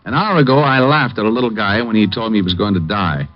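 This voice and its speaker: An actor playing a hard-boiled, skeptical private eye with a wry, slangy delivery in an old radio noir drama. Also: male voice, on the low side, quick pace, lightly acted. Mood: narrating, hard-boiled. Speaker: private detective lead and narrator. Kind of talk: 1940s radio noir acting and narration. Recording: dull vintage radio recording.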